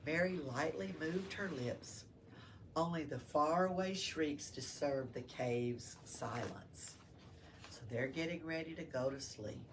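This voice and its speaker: A woman's voice: low-pitched, takes it slowly, recorded from a distance. A woman reading a story aloud to children as a library storyteller, explaining it in simple words. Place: library room. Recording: faint background noise.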